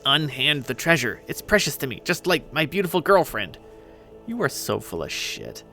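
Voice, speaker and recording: A man's voice: a little high, animated, a little fast, sharp tone, faint music behind. A man acting out petulant young men squabbling over treasure in a dramatic story reading.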